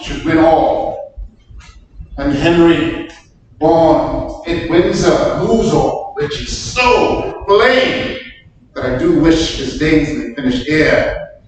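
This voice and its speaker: A man playing a grave, brooding English nobleman in a solemn Shakespearean staged reading. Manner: slow, solemn declamation. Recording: echoey distant room, faint rumbling noise.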